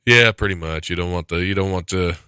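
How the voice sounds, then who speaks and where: A man with an American accent and a fairly low, bright, forward voice hosts a casual comedy chat. He jokes sarcastically in a very dry studio sound. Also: fast talker, smooth, dry recording. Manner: joking, sarcastic, often chuckling. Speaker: podcast host.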